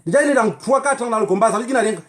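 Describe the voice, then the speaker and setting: A man's voice: very high voice, very fast, slight background noise. A man with a Cameroonian accent addressing viewers in a political livestream monologue, emphatic and admonishing, repeating phrases and throwing in rhetorical questions. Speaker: online activist livestreamer.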